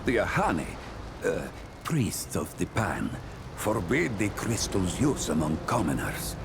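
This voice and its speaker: A wise otherworldly trader for a video game. He talks slowly and solemnly in archaic, storytelling phrases, with a deep voice that rises and falls widely. Ambient noise sits behind him. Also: sing-song, gravelly, exaggerated voice. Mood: solemn, lamenting. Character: male Pan trader from a jungle world, solemn and wise. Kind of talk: video game character monologue.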